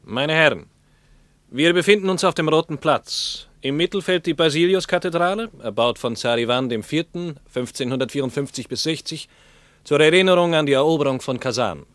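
Casting: actor as dapper young male tour interpreter, formal explainer, radio play, slightly high voice, dry sound. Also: fairly quick, a bit bright, no echo, natural voice. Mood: formal, informative.

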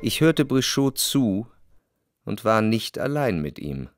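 An actor playing an introspective, well-to-do narrator in a literary radio play, reflective and restrained in manner. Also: male voice, on the low side, big pitch swings, slow, exaggerated voice. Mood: uneasy. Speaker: first-person narrator. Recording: music playing behind the voice.

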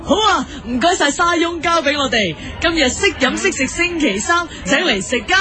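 Guy as a high voiced bubbly tv host, over-polite, radio comedy parody. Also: expressive, normal speed, over music. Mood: politely curious. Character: bubbly lifestyle TV show presenter.

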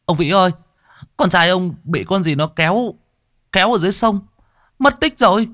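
A panicked villager, as a male storyteller puts him on, gasps out bad news in a high, rising, urgent voice. He speaks far above the narrator's own pitch, in a dry, close recording. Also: lively intonation, moderate pace, fairly bright tone, dry recording. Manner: breathless, urgent. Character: breathless village messenger, panicked young man.

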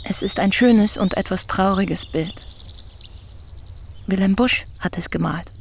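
Radio drama: a self-assured writer who keeps asking, steady and composed, at a middle pitch. Faint crickets sound outdoors behind her. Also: a little slow, creaky, slight background noise. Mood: calm, probing. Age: young adult. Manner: persistent, calm questioning. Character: young female newspaper writer, probing and self-assured.

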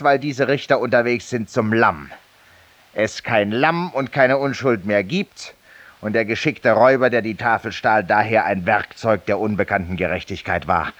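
An actor playing a feverish, weary, self-styled judge-penitent in a 1950s radio drama, confiding ironically and theatrically in a silent listener. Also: male voice, mid-range voice, fairly bright tone, dry recording, natural voice. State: feverish and tired, with coughs.

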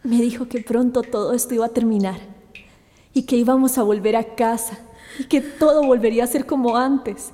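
Radio drama heroine, acted by a woman: gentle, hopeful young woman, soft dark tone. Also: on the high side, moderate pace, natural voice. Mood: tender hope.

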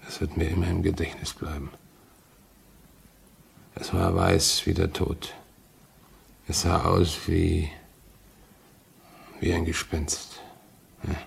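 An actor playing a grave, shaken train driver in a solemn 1950s radio drama style. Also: male voice, deep voice, monotone, creaky, slight background noise, exaggerated voice. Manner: solemn, deliberate.

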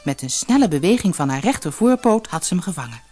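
A woman reading a fairy tale as an audiobook storyteller, expressive and measured. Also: lowish voice, soft background music. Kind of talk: scripted fairy-tale narration.

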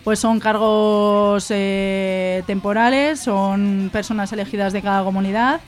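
A woman with an accent from Spain gives an informal radio explanation in a medium-pitched voice. She is didactic, posing questions and then answering them. Faint music sits behind her. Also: steady tone, a little slow. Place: live radio studio.

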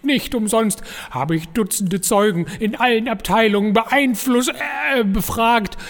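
A man's voice: sits high, a little slow. A man playing a fawning, scheming office rival in a comic audio drama, pompous and obsequious. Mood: gloating, accusing. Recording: long hall reverb.

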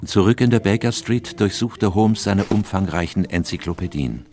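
A deep-voiced, earnest Victorian companion. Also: male voice, lively intonation, darkish tone. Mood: grave, narrating. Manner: measured, earnest. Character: loyal, earnest Victorian gentleman narrator, detective's companion. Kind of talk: scripted radio drama narration and dialogue. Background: music.

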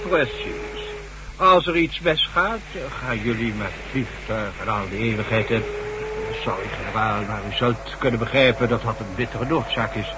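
A man's voice: fairly low pitch, normal speed, natural voice. A man acting a blunt, authoritative official in a Dutch radio drama, commanding and dry as he briefs others. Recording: noisy old radio drama recording with hum.

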